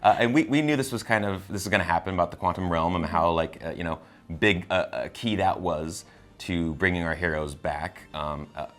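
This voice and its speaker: Low-voiced man, halting and rambling. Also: a bit bright. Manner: halting, rambling, repeats words. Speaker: entertainment show co-host reviewing a film. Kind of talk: casual movie discussion.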